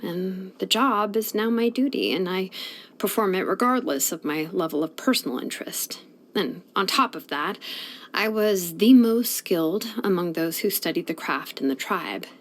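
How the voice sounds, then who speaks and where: An actress voicing a laid-back, sleepy young female blacksmith in a video game, with a relaxed, drawling, casual way of talking. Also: medium pitch, normal speed, lightly acted.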